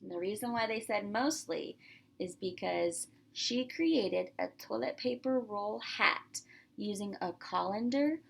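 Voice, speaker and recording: A woman reading a picture book aloud to young children, expressively emphasizing words and pausing to explain and ask questions. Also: medium pitch, lively intonation, creaky. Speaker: pre-K teacher.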